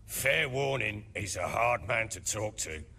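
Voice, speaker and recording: Gruff, husky hard-drinking baron, swooping delivery. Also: male voice, on the low side, expressive, measured pace, pushed and bright, full character voice. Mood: gruff, wary. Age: middle-aged. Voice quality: rough, husky. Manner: gruff, blustering, defensive. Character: burly middle-aged hard-drinking baron, gruff and defensive. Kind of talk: video game voice acting.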